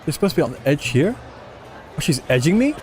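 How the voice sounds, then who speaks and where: A man's voice: fairly high pitch, very animated, fast, soft background music. A man giving casual gameplay commentary as a streamer, with offhand muttered asides. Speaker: streamer, game player.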